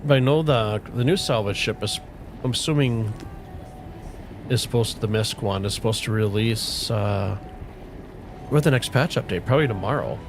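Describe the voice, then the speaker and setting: A middle-aged man chatting casually with viewers on a game livestream, relaxed and rambling, with a soothing voice. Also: lowish voice, normal speed, long pauses. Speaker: streamer. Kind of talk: casual livestream chat while gaming. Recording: faint music playing underneath.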